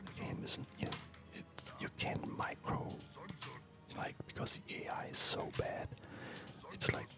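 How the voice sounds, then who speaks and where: A man giving hushed, unhurried and rambling ASMR commentary over a game he is playing, speaking in a whisper. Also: loud music behind the voice. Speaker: gaming video host.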